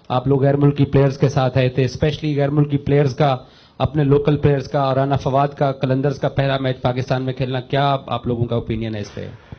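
A slightly dull recording of a man asking a reporter's question. His voice is flat and middling in pitch. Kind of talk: press conference question.

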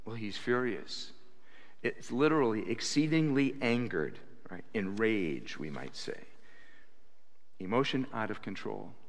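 Older pastor preaching in a reverberant church. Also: male voice, on the low side, measured pace, gravelly. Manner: animated preaching with sudden loud acted quotes. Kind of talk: sermon. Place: church sanctuary stage at a pulpit. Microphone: stand mic at the pulpit.

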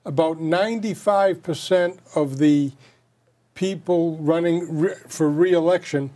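A man's voice: a little high, slow, dark tone. An older man hosting a local TV interview show, asking questions in a folksy, conversational way from a studio set. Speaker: TV show co-host. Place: dark studio set, seated at table.

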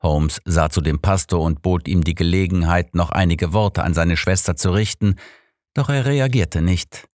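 A man's voice: deep voice, moderate pace, a little mellow, no room sound, clean studio sound. A man narrating a scripted story as an audiobook reader.

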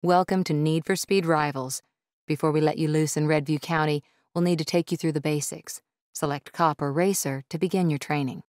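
A woman's voice: deep voice, fairly even intonation, fairly quick, darkish tone, lightly acted. A woman voicing a composed tutorial guide in a video game, giving scripted, instructional briefings to new players. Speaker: tutorial narrator. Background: music.

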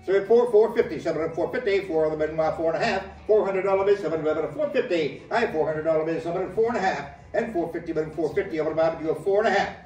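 A man's voice: a little high, quick pace, hardly any pauses, darkish tone, dull audio. A man working as an auctioneer, calling live bids in a rhythmic, repetitive chant of numbers. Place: indoor metal-walled storage facility corridor. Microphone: camera several metres away. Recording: echoey storage hallway, distant microphone.

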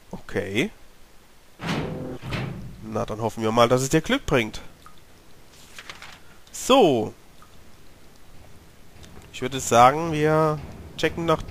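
A German-speaking man with a cold, slightly hoarse, giving chatty commentary while playing a horror game and talking to his character. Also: a little high, fairly bright tone. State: has a cold, clearing his throat. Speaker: let's play gamer. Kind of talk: casual gameplay commentary.

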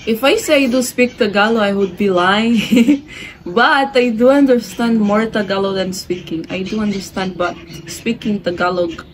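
A young woman from Angola, a guest in a casual interview, talking in a relaxed, thoughtful way with soft laughs. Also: mid-range voice, normal speed. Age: young adult. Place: indoors, room with a red wall and a barred window. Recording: noisy home video.

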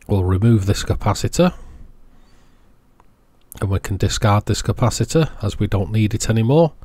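Low-voiced man giving instructions. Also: animated, normal speed, darkish tone, dry recording. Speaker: host of electronics repair tutorials. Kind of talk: instructional narration, step-by-step.

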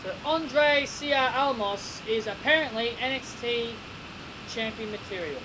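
A young man giving a casual wrestling review from his bedroom, excitable and repeating himself with stammering restarts, recorded on a desk webcam. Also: extremely high pitch, measured pace. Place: small bedroom. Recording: noisy home webcam recording.

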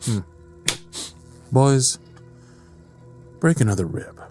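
A man playing a sadistic, mocking evil scientist in an audio drama, with a drawn-out, menacing delivery. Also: mid-range voice, expressive. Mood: cold, commanding. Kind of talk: dramatic audio roleplay acting. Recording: music under the voice.

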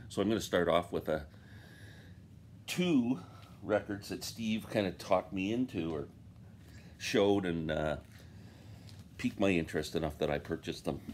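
A man's voice: rumbling low, a little hiss or hum. A man in his fifties, sitting at home and casually chatting about records he bought, rambling and enthusiastic. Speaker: vinyl collector vlogger. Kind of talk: casual record show-and-tell. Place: home room with framed posters, indoors.

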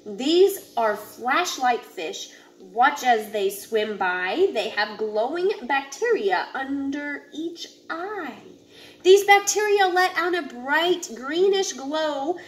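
A woman in her thirties reading a children's picture book aloud in a deliberate, instructive way, now and then stumbling over a word. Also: sits high, animated. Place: home living area with kitchen, indoors. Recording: noisy home recording, room ambience.

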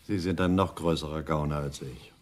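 An actor playing a blunt, skeptical professional criminal in a German radio crime drama, with a wary, direct delivery. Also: male voice, very deep voice, fairly even intonation, moderate pace. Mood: skeptical, dry.

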